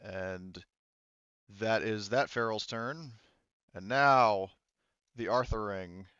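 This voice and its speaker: A man acting as game master in a casual tabletop session, haltingly thinking aloud as he checks rules and sets up the action, with some casual swearing. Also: fairly low pitch, a bit bright, clean tone, no echo, lo-fi sound.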